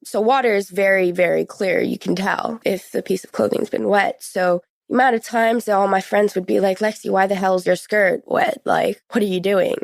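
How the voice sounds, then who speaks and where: Female, late teens, podcast guest. Frank, informal teen talk, mid pitch, close dry sound. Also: fairly even intonation, moderate pace, gravelly, hardly any pauses, dry recording. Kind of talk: personal interview, recounting experiences.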